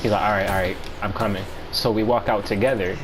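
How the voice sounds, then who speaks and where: An American man with a low voice tells a story in a casual, conversational way. His delivery is halting, slangy and profane. There is clear noise behind him. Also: steady tone. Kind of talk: casual storytelling chat among friends.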